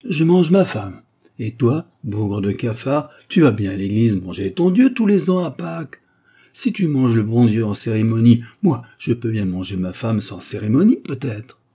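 A man's voice: medium pitch, animated, measured pace, dark tone, husky. A man voicing a stubborn, wily old peasant in a storybook, with rustic, colloquial and cheeky speech. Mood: defiant. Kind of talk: literary audiobook dialogue.

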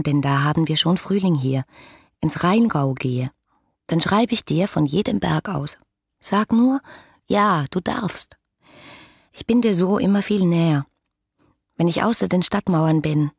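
Low-voiced, yearning romantic young woman. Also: creaky, a little mellow, slightly rough, dry recording, studio quality, exaggerated voice. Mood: longing, hurt. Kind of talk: radio drama reading of letters.

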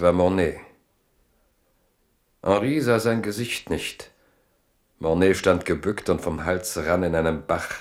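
A male narrator for a radio drama, pitched deep. He reads literary prose as a storyteller would. The delivery is measured and dramatic.

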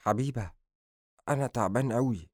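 A man's voice: fairly low pitch, lively intonation, fast talker, no echo, lightly acted. Man acting weary lovestruck young man, dry close sound. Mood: weak, dazed. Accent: Egyptian. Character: ailing, lovestruck young man, gentle and playful. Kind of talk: audiobook character dialogue.

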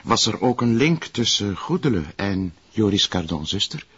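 An actor playing a mild, proper police sergeant and dry sidekick with a Flemish accent and a husky voice, in a detective drama. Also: male voice, lowish voice, big pitch swings, normal speed, a bit bright, dull audio, exaggerated voice. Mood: businesslike. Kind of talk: naturalistic detective drama dialogue.

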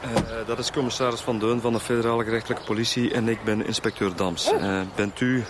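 A man's voice: medium pitch, fairly even intonation, fairly quick, very noisy, natural voice. A man acting as a polite, inquisitive Flemish police inspector in a radio drama. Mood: businesslike. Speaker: actor in a radio serial.

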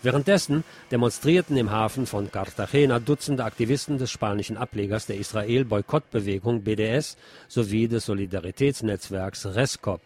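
A man presenting scripted news on community radio, reading in a measured reporting style with the odd dry aside. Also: on the low side, a little mellow, slightly rough.

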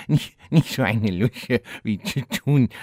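An actor playing a rambling, cackling old undead warlock who boasts about the hard old days, in a comedy audio play. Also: male voice, medium pitch, slow, a little mellow, dry recording, clean studio sound. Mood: grumbling nostalgic.

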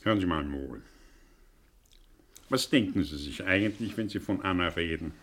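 An actor playing a proud, condescending older professor in a radio play, husky, ironic and erudite. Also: male voice, low-pitched, a bit bright. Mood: mocking. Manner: ironic, erudite, halting when agitated.

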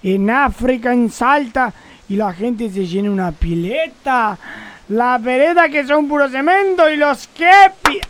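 A timid, whiny henchman acted by a woman for a comic radio play, high-voiced and deferential. He hesitates and grumbles while obeying, with faint hiss behind him. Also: a little slow. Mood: timidly objecting. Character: timid, whiny, obedient henchman named Abraham. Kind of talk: live comic radio drama acting.

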